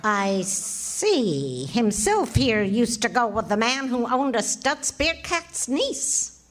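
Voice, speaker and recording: Patient, gently sarcastic radio-comedy wife played by a woman, higher-pitched, lively intonation, slow measured teasing. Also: exaggerated voice. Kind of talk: 1940s radio comedy acting.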